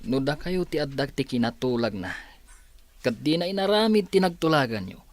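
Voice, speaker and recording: A man's voice: medium pitch, lively intonation, unhurried, natural voice. A man acting a defensive, evasive young rich son in an Ilocano radio drama, hesitant and protesting. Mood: sheepish.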